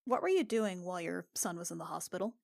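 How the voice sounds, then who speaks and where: A woman's voice: fairly low pitch, sing-song, quick pace, gravelly, dry recording, studio quality. A woman playing a protective, defiant young noblewoman who speaks in a pointed, controlled way. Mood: quiet, confronting. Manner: pointed, controlled, quietly confronting.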